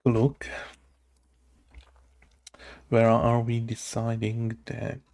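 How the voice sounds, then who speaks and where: A man's voice: mid-range voice, completely flat delivery, drawn-out words, creaky, a little mellow. A man thinking aloud as he writes game code, hesitant and muttering, full of fillers and questions to himself. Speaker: game developer coding on stream. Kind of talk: thinking aloud while coding. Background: keyboard typing and clicking.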